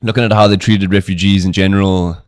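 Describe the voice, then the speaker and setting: A man from South Africa hosts a podcast in a casual, encouraging way. His voice is very low. The recording is dry, with no room sound. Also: flat delivery, quick pace, dry recording. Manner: easygoing, encouraging, lots of agreeing murmurs. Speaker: podcast host.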